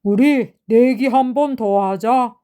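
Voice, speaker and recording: A woman voicing a boastful but easily frightened, hungry tiger in a children's storybook, with a theatrical drawn-out delivery. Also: on the high side, dark tone.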